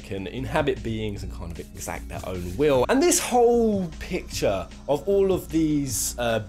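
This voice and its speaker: Male countdown host, informal and chatty gaming commentary, highly varied intonation, music bed underneath. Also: a little mellow. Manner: conversational, informal with swearing. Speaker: video game countdown host. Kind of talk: casual commentary on game lore. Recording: music playing behind the voice. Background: game soundtrack music.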